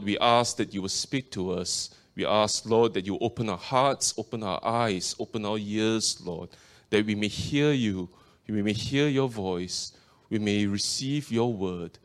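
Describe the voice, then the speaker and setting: A man from Singapore with a Singaporean accent, preaching as a guest speaker, opening in fervent prayer and reading scripture aloud. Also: fairly low pitch. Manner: earnest, fervent praying with many repeated invocations of the Lord.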